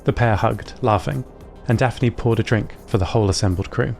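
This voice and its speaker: A man narrating audio fiction as an observant, earnest robot, in a precise and measured way. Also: medium pitch, vocal fry, a little mellow, soft background music, natural voice.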